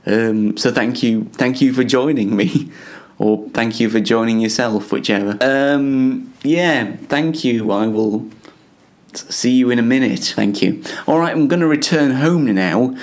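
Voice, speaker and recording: A man's voice: mid-range voice, unhurried. A young man hosting a casual show in a self-deprecating way, stumbling over and repeating the starts of his phrases. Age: young adult. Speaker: host. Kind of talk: casual self-interview and chat to viewers.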